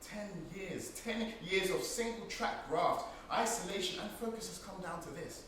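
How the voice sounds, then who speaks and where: Echoey, distant young dreamer. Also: male voice, high-pitched, normal speed, a bit bright. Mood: intense, rising. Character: intense, restless young male dreamer, wry and poetic. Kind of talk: theatrical dramatic monologue. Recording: echoey room, far mic, noisy.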